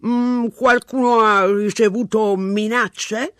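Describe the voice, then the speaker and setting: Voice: medium pitch, very animated, normal speed, sharp tone, dry recording, clean studio sound, voice pushed up. A reader doing a curt, chewing policeman. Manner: curt, chewing while talking. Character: brusque man on a police phone line.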